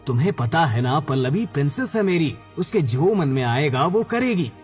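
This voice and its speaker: A man's voice: on the high side, sing-song, moderate pace, a little mellow, soft background music. A man playing an indulgent father in a cartoon story, fondly and mildly chiding on behalf of his little daughter.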